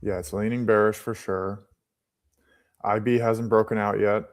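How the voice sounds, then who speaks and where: A man in his late twenties with a fairly low voice, co-hosting a live trading stream. He explains things patiently, one step at a time, and often restarts his sentences as he talks. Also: level tone, measured pace. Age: late 20s.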